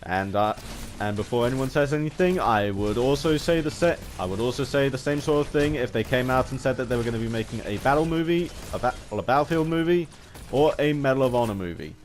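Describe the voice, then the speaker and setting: A British man giving a rambling video game commentary, haltingly, often repeating and rephrasing his phrases as he goes. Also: mid-range voice, lively intonation, slight background noise.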